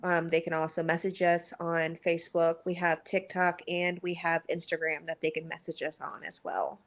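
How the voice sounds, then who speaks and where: Lower-voiced woman chatting casually. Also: moderate pace, muffled recording. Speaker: podcast guest, paranormal investigator. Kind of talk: casual chat.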